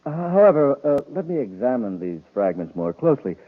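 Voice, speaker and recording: An actor playing a nervous, shifty inventor in a 1950s radio serial, hesitant, evasive and overly polite. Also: male voice, mid-range voice, big pitch swings, a little fast. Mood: uneasy, evasive. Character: shifty, nervous male inventor hiding his crime. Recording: muffled vintage radio recording.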